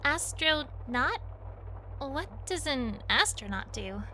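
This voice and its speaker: A woman plays a curious, bookish young female pony creature in an audio drama. The voice is high and bright with lively swoops, and the sentences are careful and simple, like a new language learner's. Some background noise sits behind it. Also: takes it slowly, full character voice. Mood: earnest, curious.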